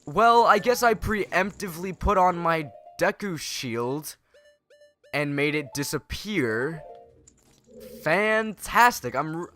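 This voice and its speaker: A man's voice: fairly high pitch, sharp tone. A young man with a nasal voice from a starting cold, rambling through casual, sarcastic gameplay commentary as a let's play host. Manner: rambling and sarcastic, self-correcting.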